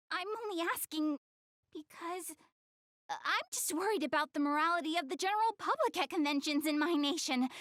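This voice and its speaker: A woman's voice: high-pitched, a bit bright, dry recording, clean studio sound, heavily acted. A young woman playing a proud, easily flustered, cute anime goddess girl in a video game, speaking bashfully and defensively to the player. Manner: bashful, defensive, stammering when embarrassed.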